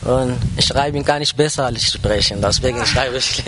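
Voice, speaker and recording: A man whose first language is Arabic, speaking to his church congregation in a halting personal testimony, with many pauses and fillers. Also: medium pitch, heavy background noise. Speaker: church member addressing the congregation. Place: church service.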